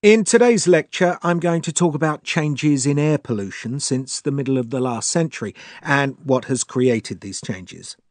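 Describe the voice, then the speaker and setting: A man's voice: medium pitch, moderate pace, few pauses, dry recording. A man delivering a scripted academic lecture as the lecturer.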